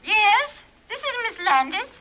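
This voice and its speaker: An actress playing a prim, genteel old maid in a vintage radio drama, polite in manner. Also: female voice, extremely high pitch, very animated, unhurried, exaggerated voice. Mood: polite, flustered. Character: genteel old maid tenant, Miss Landis. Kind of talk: 1940s-50s radio drama acting. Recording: muffled vintage radio recording.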